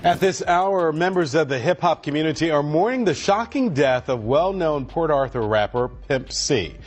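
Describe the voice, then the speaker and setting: A man's voice: a little high, animated, normal speed, slight background noise. A man delivering a formal broadcast news report.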